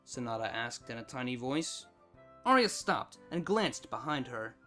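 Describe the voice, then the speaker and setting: An expressive narrator reads a story from a script. The pitch sits in an ambiguous middle register and moves with lots of variety. Faint music runs behind the reading. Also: unhurried. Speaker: narrator, fan fiction reader.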